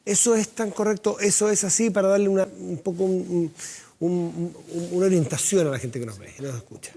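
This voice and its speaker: A man hosting a studio TV interview, asking direct, lively questions. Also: high-pitched, fairly quick, gravelly. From Chile. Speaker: TV host.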